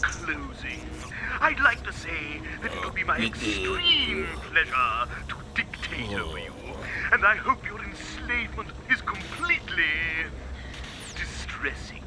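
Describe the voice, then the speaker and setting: Cartoon crocodile tyrant, very high voice, wildly swooping, pompous, grandiose, short-tempered, theatrical. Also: male voice, huge pitch swings, background noise, full character voice. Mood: pompous, gloating. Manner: pompous, grandiloquent, drawn-out emphatic delivery. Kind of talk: theatrical cartoon villain acting. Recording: cartoon soundtrack with loud music behind.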